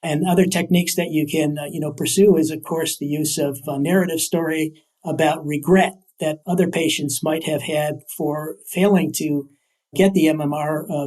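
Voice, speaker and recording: A man answering as an academic interview guest, building long, careful sentences with deliberate, hesitant phrasing. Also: a little high, a little mellow.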